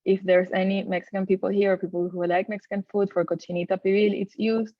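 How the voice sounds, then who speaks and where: A woman from Venezuela with a Venezuelan Spanish accent gives an informal presentation in a halting, conversational way, often correcting herself. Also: medium pitch, little pitch movement, no echo, dull audio. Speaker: textile designer giving a talk.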